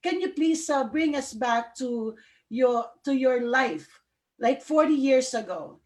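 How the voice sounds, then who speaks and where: A woman's voice: high voice, lively intonation, takes it slowly, a little mellow. Bubbly woman hosting a live online show. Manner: bubbly, laughs often, many fillers. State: sleepy. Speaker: talk show host. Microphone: video call.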